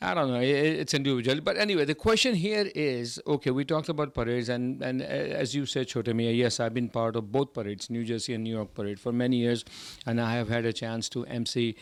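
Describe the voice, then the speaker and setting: A man's voice: mid-range voice, quick pace, clear, resonant tone, no room sound. A middle-aged man, co-hosting a casual chat and talking emphatically, often repeating words as he starts a sentence. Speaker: podcast co-host.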